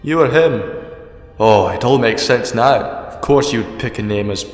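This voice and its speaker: An actor playing a sardonic, defiant old time-traveller in a fan audio drama, dry and exasperated. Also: male voice, medium pitch, a little slow, natural voice. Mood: dry defiance. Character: sardonic, defiant, ancient male time-traveller hero, captive. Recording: echoey long reverb, music behind.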